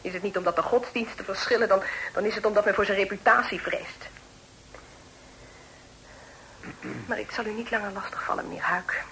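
A woman's voice: mid-range voice, fairly even intonation, quick pace, pushed and bright. An actress playing an easily frightened, distressed young lady in a period radio drama, speaking formally and pleadingly. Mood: bitter, reproachful. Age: young adult. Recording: noisy old radio drama recording.

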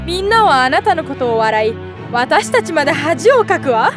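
A woman's voice: high-pitched, very animated, normal speed. A woman voicing a cruel, scornful stepmother in a storybook tale, with a haughty, scolding manner. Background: music.

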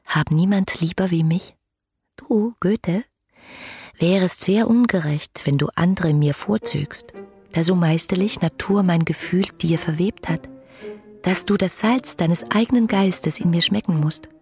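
An actress playing a passionate, romantic young woman in a literary radio play, speaking intimately and poetically to her beloved in a husky, breathy voice. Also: fairly low pitch, a little slow, darkish tone, faint music behind. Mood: tender.

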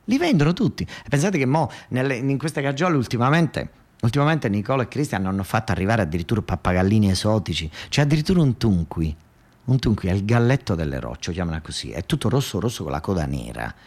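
A man with a fairly low voice and a southern Italian accent delivers a theatrical monologue for radio. He speaks colloquially and emphatically, halting and repeating phrases as he builds the story. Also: very animated, a little mellow.

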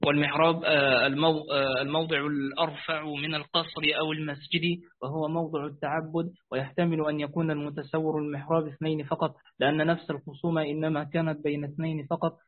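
A man's voice: a little high, flat delivery, quick pace, few pauses. A man reading a classical commentary aloud carefully, with restarts, over a phone on a weak network from a rooftop. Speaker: student reading the text aloud. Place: rooftop outdoors. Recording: tinny phone-like line.

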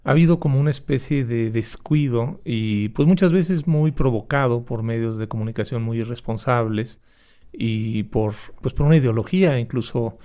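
Mellow-voiced Mexican radio interviewer. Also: male voice, medium pitch. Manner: measured, thoughtful, with hesitant fillers.

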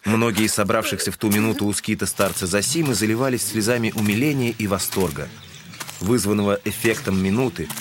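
Low-pitched man narrating a classic novel. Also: a little fast, noisy background. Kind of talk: scripted literary narration for audio drama.

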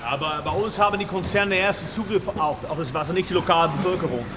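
A man's voice: high voice, fast talker, lightly acted. Boastful farming expert played by a man, noisy. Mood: blithe. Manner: bragging, casual, repeats words. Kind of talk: naturalistic radio drama in reportage form. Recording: very loud location noise behind voice.

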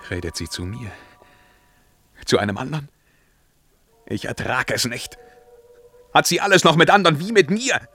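An actor playing a brooding, anguished emperor burdened by his crown, declaiming verse in a theatrical radio drama, swinging between hushed murmurs and outcries. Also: medium pitch, big pitch swings, moderate pace, long pauses, a little hiss or hum. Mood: frantic torment.

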